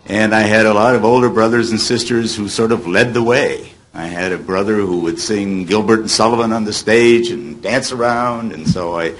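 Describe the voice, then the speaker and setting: An elderly American man in his seventies speaks as a panel guest at a table microphone. His voice is a bit low, and he reminisces in an informal, conversational way. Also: moderate pace, hardly any pauses, low-quality recording. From United States, Illinois. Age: 70s. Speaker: guest panelist, former child radio actor. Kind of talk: casual reminiscing. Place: hotel meeting room at a convention. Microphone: table microphone on a stand.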